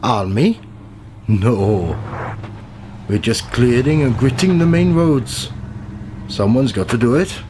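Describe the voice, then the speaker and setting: An actor playing a blunt, chatty, grumbling road worker in a radio play, with colloquial speech. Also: male voice, medium pitch, lively intonation, slow, long pauses, noisy background. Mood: friendly, chatty. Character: matter-of-fact, grumbling working man gritting roads.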